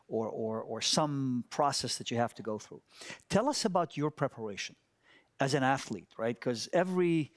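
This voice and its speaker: Male business interviewer, fifties, medium pitch, halting and conversational, close dry headset mic. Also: no room sound. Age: 50s. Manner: halting, repeats words while thinking. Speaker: executive interviewer on stage. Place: large studio stage, indoors.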